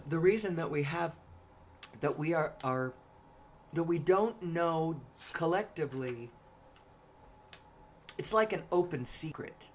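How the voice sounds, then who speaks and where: Hoarse raspy woman clearing her throat. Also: low-pitched, expressive. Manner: halting, frequent throat-clearing and coughs. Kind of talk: solo spoken monologue.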